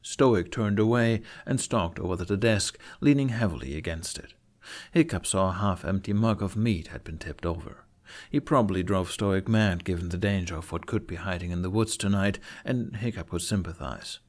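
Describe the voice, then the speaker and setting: A man reading scripted fantasy fiction aloud as an expressive storyteller. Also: deep voice, moderate pace. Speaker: podfic narrator.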